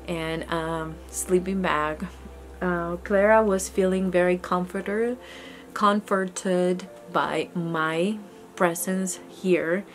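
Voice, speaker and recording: A young woman talking casually to the camera in an indoor room, chatty and earnest, often restarting her sentences. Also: on the low side. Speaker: homesteading vlogger. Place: indoor room with goat pen, daytime. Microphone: camera close in front.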